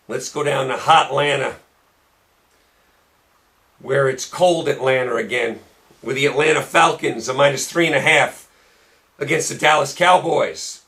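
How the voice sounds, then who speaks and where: Guy going hoarse doing his football picks, kinda high voice, hammers his points over and over. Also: a bit bright. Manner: emphatic, repeats points for stress. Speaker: sports picks show host. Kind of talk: solo sports betting picks commentary.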